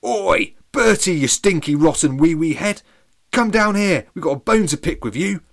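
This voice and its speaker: A man's voice: on the high side, sing-song, moderate pace. A man voicing a wise but grumpy village elder in a storybook, bellowing to rouse a crowd. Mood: rousing. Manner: bellowing, rousing.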